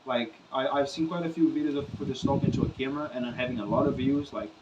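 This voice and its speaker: A man's voice: medium pitch, fairly even intonation, quick pace, distant mic, muffled recording, heavy background noise. A young Brazilian man, Portuguese his first language, speaking English unscripted to the camera in a loose, rambling way with filler phrases and swearing. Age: young adult. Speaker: musician vlogger.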